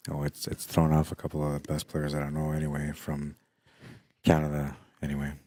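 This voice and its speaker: A middle-aged man commentating a pool match in a hushed, unhurried voice with a very deep bass, tired late in the day. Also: completely flat delivery, no echo, clean studio sound. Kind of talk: quiet live sports commentary. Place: pool hall during a tournament.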